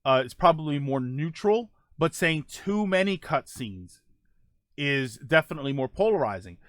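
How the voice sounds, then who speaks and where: A man's voice: on the high side, very animated, moderate pace, fairly bright tone, no echo, clean studio sound. A man hosting a casual live stream, thinking aloud while reading viewer comments, often restarting his sentences. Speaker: streamer host reading chat.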